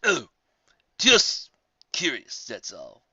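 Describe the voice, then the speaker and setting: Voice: mid-range voice, huge pitch swings, extremely slow, sharp tone, husky, no room sound, muffled recording, heavily acted. A narrator voicing a sullen, sarcastic male monster soldier who grumbles under a demotion in an audio story.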